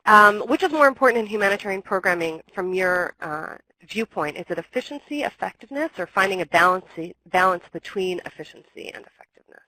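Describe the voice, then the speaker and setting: A woman's voice: medium pitch, lively intonation, a little fast, bright, forceful tone, dry recording. A young woman with a slight cold, her voice a little scratchy, presenting a webinar talk from home over a computer mic, explaining steadily with ums and restarts.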